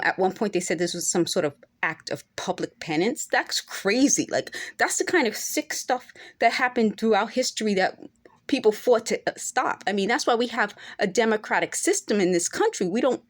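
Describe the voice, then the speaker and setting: Earnest woman in her forties, insistent. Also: medium pitch. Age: 40s. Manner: earnest, insistent, rising to emphatic peaks. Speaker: vlogger. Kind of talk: unscripted monologue to camera.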